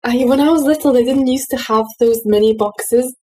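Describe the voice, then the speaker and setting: A young woman in a casual group chat, telling stories in an animated way. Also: on the high side, moderate pace.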